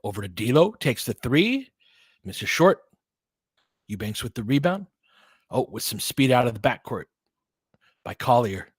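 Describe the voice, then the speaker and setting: A man calling basketball play-by-play with quick, excitable calls and chatty asides, on a close mic at home. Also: medium pitch, breathy edge, no echo. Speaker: play-by-play commentator. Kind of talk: fan-made basketball play-by-play commentary.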